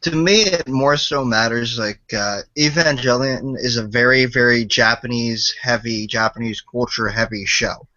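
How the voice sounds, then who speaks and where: A man's voice: medium pitch, normal speed, hardly any pauses, bright, forceful tone, no room sound. A young American man chatting on a podcast, hedging his points with lots of "like". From United States. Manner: hedging, full of "like". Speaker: podcast co-host. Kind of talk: casual chat. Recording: dry, dull lo-fi podcast audio.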